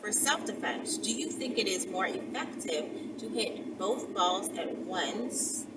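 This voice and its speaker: A woman's voice: mid-range voice, expressive, takes it slowly. A woman talking casually and bluntly to her viewers, with a sassy manner, as a content creator. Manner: sassy, blunt, heavy swearing. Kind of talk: casual rant to camera, addressing viewers directly. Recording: distant mic with clear background noise.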